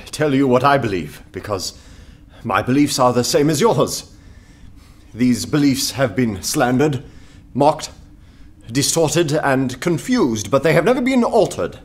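An actor playing an anarchist poet in a radio play, making an earnest, nervous speech that pleads meekness. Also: male voice, medium pitch, expressive, unhurried, natural voice. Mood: grave, earnest.

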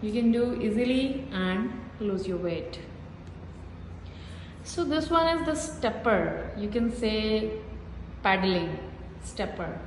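A woman vlogger giving a slow, simple walk-through of a small indoor gym, filming on her phone and pointing out each machine. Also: medium pitch, lively intonation, a little hiss or hum. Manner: simple repetitive pointing-out explanations. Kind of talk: casual gym tour vlog. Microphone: phone held while filming. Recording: echoey room with hall reverb.

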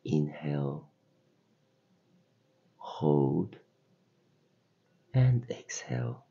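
A man leading a guided meditation as a podcast host, speaking in gentle, soothing, deliberate phrases with pauses between them. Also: rumbling low, big pitch swings, creaky, muffled recording.

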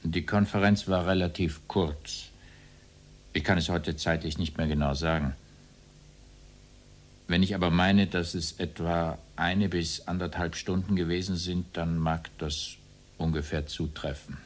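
Low-voiced man testifying formally. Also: fairly even intonation. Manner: formal, deliberate, bureaucratic phrasing. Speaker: witness under questioning.

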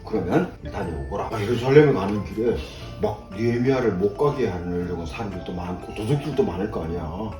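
A man's voice: fairly low pitch, brisk. An older man, a pastor grandfather, telling Bible stories to grandchildren in a conversational, simply explained way. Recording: roomy echo, distant mic, faint music.

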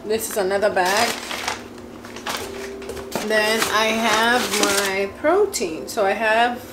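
A woman in her twenties chatting casually in her kitchen for a home vlog, chatty and excitable with frequent laughs. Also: medium pitch, slow, very noisy. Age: 20s. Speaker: vlogger.

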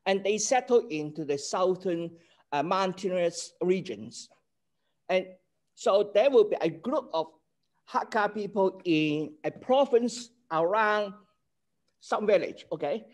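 A man's voice: sits high, expressive, takes it slowly. An older man from Hong Kong, a native Cantonese speaker with a Hong Kong accent, giving an online class lecture over a video call and checking in with "okay?". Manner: earnest, explanatory, checks in with "okay?".

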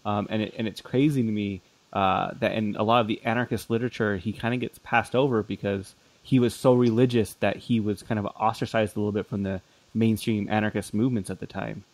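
A man's voice: fairly low pitch, steady tone, fairly quick, dry recording. A man interviewing a guest as podcast host in a casual, conversational style.

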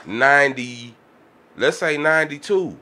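A man's voice: fairly high pitch, measured pace, bright, forceful tone. A man chatting casually on a podcast, emphatic and often repeating his phrases. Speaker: podcast panelist. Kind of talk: casual chat. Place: small podcast room. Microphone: studio mic on boom arm.

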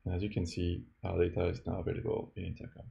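A man narrating a step-by-step software tutorial as a demo presenter. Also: sits low, monotone, quick pace, vocal fry, dull audio. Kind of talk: scripted tutorial narration over a screen recording.

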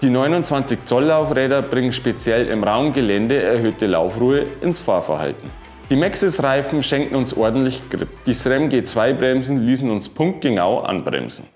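A man in his thirties giving a scripted bike review, reading specifications in an even, informative way. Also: medium pitch, normal speed. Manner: even, informative delivery dense with specs. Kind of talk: scripted product review narration. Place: wooden-walled workshop room, indoors. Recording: roomy sound with faint music.

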